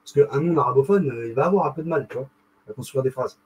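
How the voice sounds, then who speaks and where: Young guy rambling to his viewers, slangy and worked up, kinda husky, middle pitch. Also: fast talker, low-quality recording. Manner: rambling, animated, slangy, addresses viewer directly. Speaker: video host. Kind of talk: casual explanatory monologue.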